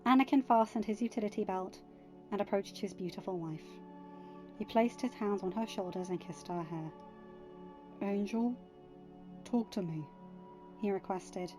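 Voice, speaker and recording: A woman narrates scripted fiction in a mid-pitched voice. Her tone is soft-edged and mellow. Faint music plays behind her reading. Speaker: audiobook narrator.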